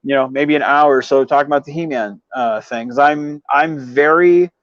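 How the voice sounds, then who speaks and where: A man's voice: a little high, moderate pace, dry recording. Rambling man chatting on a dull-sounding livestream. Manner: rambling, frequent "you know" verbal tic. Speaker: livestream host. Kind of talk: casual chat with live viewers.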